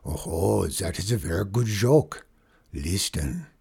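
A man voicing a superstitious French trapper with a French accent, telling a ghost tale in a hushed, dramatic whisper. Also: low voice, expressive, takes it slowly, gravelly, husky, exaggerated voice. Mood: amused. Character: superstitious male French trapper, fearful storyteller.

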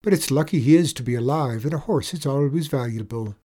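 A performer voicing a soft-hearted, gullible Irish tradesman in a storybook tale, exclaiming tearfully. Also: medium pitch, a little fast, voice pushed up, close to an everyday voice. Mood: sympathetic.

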